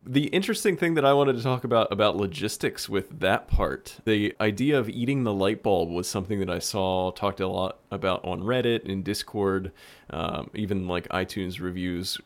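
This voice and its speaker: A man's voice: low-pitched, moderate pace. A man speaking casually as a participant in a podcast discussion about a show.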